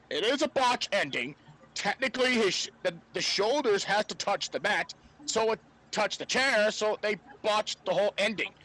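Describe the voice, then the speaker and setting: A man's voice: sits high, bright, forceful tone, poor audio. A man speaking as a panelist on a wrestling podcast, in casual debate.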